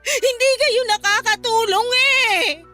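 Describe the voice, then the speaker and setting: A woman's voice: very high voice, unhurried, bright, forceful tone, full character voice. An actress playing a distraught, hurt young wife with a Filipino accent, tearful and pleading with her mother in a radio drama. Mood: exasperated, hurt. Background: background music.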